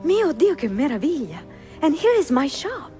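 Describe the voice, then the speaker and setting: An animated, rather high voice with an Italian accent as a young, bookish shopkeeper in a video game. She is curious, playful and teasing, with music underneath. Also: moderate pace. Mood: delighted, curious. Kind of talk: video game cutscene acting.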